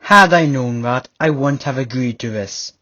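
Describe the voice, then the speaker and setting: A man's voice: medium pitch, a little slow, bright, forceful tone, dry recording, lo-fi sound. A man playing a busy, impatient nobleman in a fantasy audio roleplay, with a formal, haughty way of talking. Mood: annoyed.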